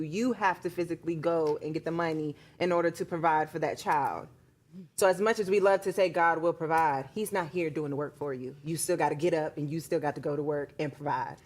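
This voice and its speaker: Female panelist, lower register, blunt and skeptical in casual debate. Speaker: talk show panelist.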